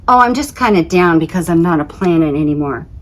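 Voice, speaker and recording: A woman's voice: on the low side, animated, brisk, lightly acted. A woman voicing a downcast, friendly little planet with a casual, self-pitying manner in a storybook reading. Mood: glum. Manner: casual, plainspoken, glum.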